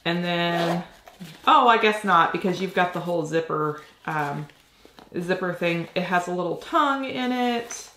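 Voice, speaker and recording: A woman's voice: lowish voice, animated, measured pace, bright, forceful tone, roomy sound, a little hiss or hum. A woman in her forties with a slightly nasal voice from a cold, chatting casually as she unboxes items at a desk in her home office. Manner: chatty, thinking aloud while handling items. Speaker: reseller vlogger.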